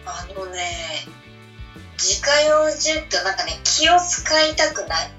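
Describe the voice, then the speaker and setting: Bright, animated western Japanese woman, distant sound. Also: high voice, a little slow, soft background music. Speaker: radio show co-host. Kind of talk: casual banter. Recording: distant, roomy, muffled dull link.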